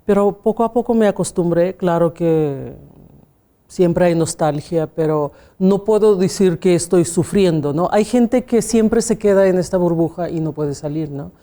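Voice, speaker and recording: A woman's voice: on the low side, normal speed, warm tone. A woman with a Russian accent, a pianist and music teacher, answering interview questions in a reflective way with small slips and questioning tags. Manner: reflective, often ending with a questioning tag, small grammar slips.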